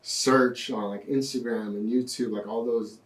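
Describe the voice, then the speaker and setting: A young man in his twenties, a podcast guest telling his story in a relaxed way with lots of fillers. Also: mid-range voice, moderate pace, far from the mic. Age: 20s. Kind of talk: casual interview. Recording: echoey room, off-mic.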